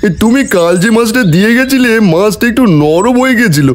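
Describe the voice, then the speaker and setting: A man's voice: sits high, animated, fairly quick. A man voicing a thrifty, trusting village customer in a cartoon, talking conversationally. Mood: chatty. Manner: haggling, conversational. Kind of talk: cartoon voice acting.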